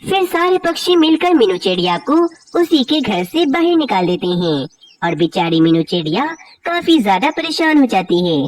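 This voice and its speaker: Female children's story narrator, highish and animated, slightly distant with background noise. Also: normal speed.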